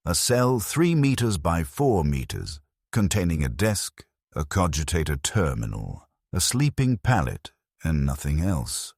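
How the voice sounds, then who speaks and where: A man narrating a scripted first-person story in a grave, measured way. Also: sits low, no room sound, clean studio sound.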